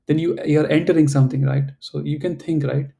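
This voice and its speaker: Guy teaching german online, raspy deep-dark voice, keeps saying you know and right, not a native english speaker. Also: fairly even intonation, fairly quick. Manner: explains patiently, many fillers like "you know" and "right". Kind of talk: online class instruction. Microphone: video call.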